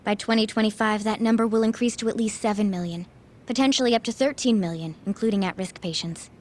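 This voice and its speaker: A woman's voice: mid-range voice, quick pace, slight background noise, close to an everyday voice. A woman playing an articulate, earnest medical research expert explaining things in a video game. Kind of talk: expository video game dialogue.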